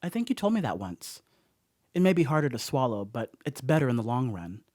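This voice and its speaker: An actor playing a rattled, rambling house sitter in a radio drama monologue, conversational and self-excusing with emphatic swings. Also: male voice, medium pitch, very animated, brisk, darkish tone, close to an everyday voice. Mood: defensive, rattled. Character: rattled, rambling young male house sitter writing a confessional note. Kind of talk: dramatic first-person radio play monologue.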